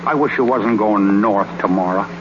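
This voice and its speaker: An actor playing a bashful, tongue-tied young ballplayer in love, stammering and folksy in a 1930s radio comedy. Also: male voice, on the low side, normal speed, natural voice. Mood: bashful, wistful. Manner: stammering, folksy ungrammatical speech. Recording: noisy, muffled 1930s radio broadcast.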